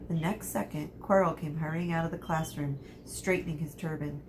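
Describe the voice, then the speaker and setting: A woman teacher reads a novel aloud to her students in a steady, clear storytelling voice. Her voice sits a little low, with a faint hiss behind it. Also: normal speed.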